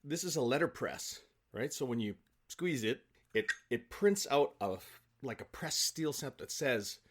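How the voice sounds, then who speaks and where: A man, probably middle-aged, telling anecdotes casually in an animated, self-deprecating, halting way as an interview guest. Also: mid-range voice. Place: home office, on a remote call.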